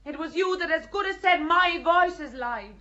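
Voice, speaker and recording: A woman's voice: very high voice, animated, a little slow, sharp tone, heavily acted. An actress playing a young, defiant peasant girl in a radio play, earnest and pleading. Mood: indignant. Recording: dull lo-fi recording.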